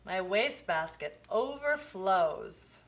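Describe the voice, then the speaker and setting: A woman reading a children's picture book aloud, with expressive, deliberate phrasing. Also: medium pitch. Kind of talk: storybook read-aloud for children.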